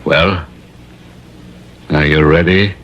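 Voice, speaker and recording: A man playing a cool master thief in a 1950s radio drama, measured, smug and menacing. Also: rumbling low, steady tone, full character voice. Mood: cold threat. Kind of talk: 1950s radio villain acting. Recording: muffled, noisy old radio recording.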